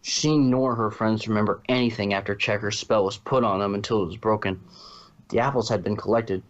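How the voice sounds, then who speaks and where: Man, lowish pitch, fan fiction narrator, scripted story reading, muffled home recording. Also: steady tone, fairly quick.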